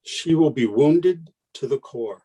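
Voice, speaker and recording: A man's voice: mid-range voice, animated, slow pace, dark tone, breathy edge, rough recording. An actor playing a troubled man in a radio play, earnest and challenging as he argues. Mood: concerned, challenging. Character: troubled man arguing against a loved one's conversion.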